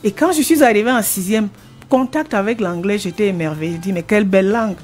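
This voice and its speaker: A woman's voice: mid-range voice, quick pace, a little mellow. A woman in her sixties with a Cameroonian French accent, a retired language teacher answering interview questions earnestly, often restarting her phrases. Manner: earnest, explanatory, with frequent restarts.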